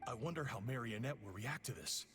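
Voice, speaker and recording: A man's voice: mid-range voice, expressive, brisk, music in the background, close to an everyday voice. A man voicing a cocky, defiant male agent in a video game, with sardonic, theatrical delivery. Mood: wry. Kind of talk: dramatic video game voice acting.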